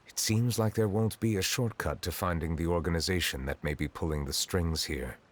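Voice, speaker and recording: An actor playing a solemn male chief judge in a fantasy game, speaking in a measured, formal, grave way. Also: sits low. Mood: calm. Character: stern solemn male chief judge, dragon in human form. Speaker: judge delivering a verdict. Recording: music bed of a game cutscene.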